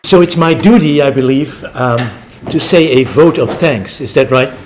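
A man's voice: mid-range voice, normal speed, crowd noise, noisy background. A Dutch-born man who speaks American English, giving a hesitant vote of thanks with many ums and uhs. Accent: American English, Dutch first language. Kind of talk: informal spoken thanks.

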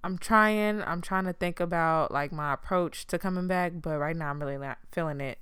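Young woman podcast host, relaxed monotone, blunt and profane, lowish crystal-clear voice, dry close sound. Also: normal speed, no room sound. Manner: chill, low-key monotone delivery; frank and profane. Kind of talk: casual solo podcast talk.

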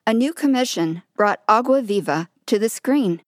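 A woman interviewer who narrates the introduction and asks questions in a clear, polished, encouraging manner. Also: fairly high pitch, animated, normal speed, dry recording, studio quality.

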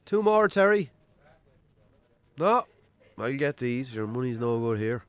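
A man acting as a shy Irish farmer in a pub radio drama. His pitch moves around a great deal, and he comes across as gruff, wry and natural, in a mid-range male voice. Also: big pitch swings, unhurried, no room sound. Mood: shy, friendly.

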